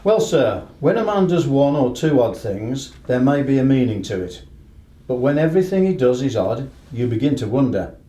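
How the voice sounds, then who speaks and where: Man playing a mellow, worried British horse trainer. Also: mid-range voice, big pitch swings, moderate pace, reverberant, lightly acted. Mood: earnest, troubled. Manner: earnest, respectful, plain-spoken. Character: worried, deferential male head horse trainer. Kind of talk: radio play acting.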